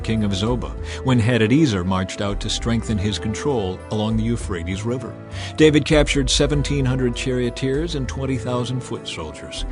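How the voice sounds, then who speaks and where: A man narrates an audio Bible in a solemn, deliberate manner. His pitch sits in the middle range, and music plays underneath the scripted reading.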